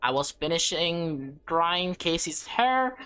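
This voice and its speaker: A woman reading a visual novel aloud as a gaming host, expressive and playful, stumbling over lines and correcting herself. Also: fairly low pitch, slow, clear, resonant tone, no room sound. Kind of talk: let's play read-aloud with off-script reactions.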